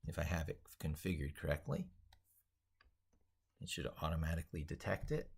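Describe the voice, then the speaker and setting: Low-voiced man casually narrating a live demo. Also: moderate pace, vocal fry, husky. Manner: rambling, thinking aloud while demonstrating. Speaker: live stream host. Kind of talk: casual live screen-share commentary. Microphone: speaking into a microphone.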